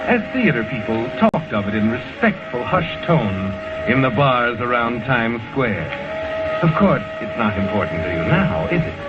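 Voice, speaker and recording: A dull old radio recording with loud music carries an actor as a male suspense narrator. His grim, knowing delivery rises and falls dramatically, each phrase placed with deliberate care. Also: mid-range voice, lightly acted. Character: male narrator grimly addressing a guilty woman.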